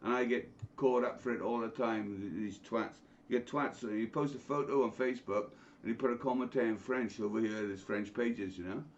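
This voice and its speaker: A man's voice: medium pitch, steady tone, fairly quick, hardly any pauses, slight background noise. A middle-aged man telling blunt, sweary anecdotes in a casual group chat. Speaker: guest telling his own story. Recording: dull lo-fi online call audio.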